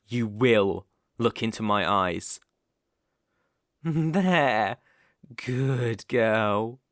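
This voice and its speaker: ASMR roleplay: male actor for a possessive British yandere captor boyfriend, unhurried, mid-pitched, coaxing yet threatening, intimately dry recording. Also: no echo. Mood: doting, controlling. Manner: slow, cooing endearments turning to controlled threats.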